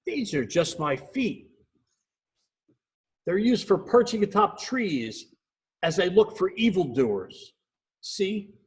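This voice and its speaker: A man voicing an earnest, excitable owl detective in a children's storybook, dramatic and self-important. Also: high-pitched, takes it slowly, long pauses, voice pushed up, full character voice.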